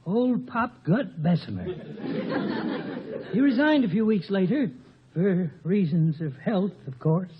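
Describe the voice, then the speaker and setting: A man's voice: high voice, very animated, takes it slowly, pauses often, a faint crowd, slight background noise, exaggerated voice. An actor playing a blunt, folksy, cheerful professor in his seventies in a 1950 radio comedy. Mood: blunt, wry. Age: 70s. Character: seventy-year-old outspoken, cheerful, tobacco-chewing male professor. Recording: muffled old radio recording.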